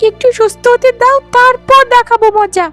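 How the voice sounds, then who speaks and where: A woman as a high-pitched, wailing sickly young wife. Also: normal speed, fairly bright tone, soft background music. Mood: angry, accusing. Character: sickly, bitter, stubborn youngest wife, later remorseful. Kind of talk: melodramatic cartoon voice acting.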